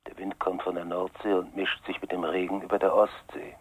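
A deep, enigmatic caller. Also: male voice, little pitch movement, brisk, husky, no room sound. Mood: cryptic, evasive. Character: enigmatic, evasive male mystery caller, coolly musing. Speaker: radio drama actor. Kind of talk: 1990s German radio crime drama acting.

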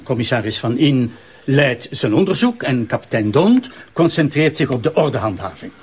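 An actor playing a brisk, diplomatic public prosecutor in a radio play, formal and authoritative. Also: male voice, mid-range voice, sing-song, slightly rough. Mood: businesslike.

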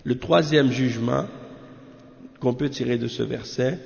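A man with a cold, teaching a religious commentary and explaining it in halting, restarting French. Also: medium pitch, gravelly, darkish tone. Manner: halting, many restarts and fillers. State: has a cold. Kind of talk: reading Arabic text, then explaining in French. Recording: muffled roomy lo-fi recording.

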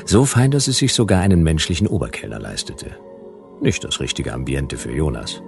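A man's voice: extremely low pitch, expressive, brisk, vocal fry, faint music behind, exaggerated voice. An actor playing a world-weary, wry private detective who narrates his case in laconic, sardonic phrases in a radio play. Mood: dry, sardonic. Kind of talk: hard-boiled radio drama narration.

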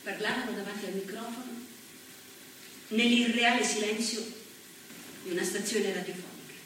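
An actress playing an earnest woman politician remembering the postwar years, slow and solemn in her delivery. The voice sits at a middle pitch, heard from far off on an echoey stage with faint hiss behind. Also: steady tone, fairly bright tone, close to an everyday voice. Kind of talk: theatrical monologue. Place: theatre stage.

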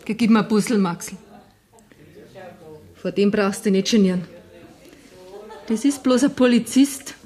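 A woman's voice: medium pitch, measured pace, long pauses, warm tone, lightly acted. An actress playing a drunken, bitter, taunting young tavern woman with a Bavarian accent in a German radio play. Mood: brash, teasing. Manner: brash, mocking, coarse-mouthed.

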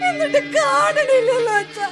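A woman's voice: very high voice, normal speed, over loud music. An actress playing a young bride who is crying and pleading for her missing husband in a radio play. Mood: sobbing. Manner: sobbing, pleading. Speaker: radio drama actor.

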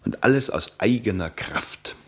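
An actor playing a brooding, envious painter in a 1960s German radio play. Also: male voice, fairly low pitch, animated, a little slow, a bit bright. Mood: wistful envy. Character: brooding, envious young painter, friend of a lawyer.

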